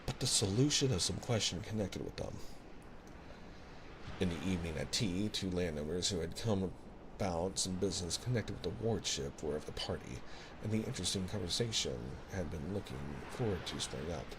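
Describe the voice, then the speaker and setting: A man reading a literary bedtime story in a measured, soothing ASMR style, close to a binaural microphone. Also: low-pitched, expressive. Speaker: audiobook-style reader, ASMR host. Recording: noisy ambience of recorded ocean waves. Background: ocean sounds.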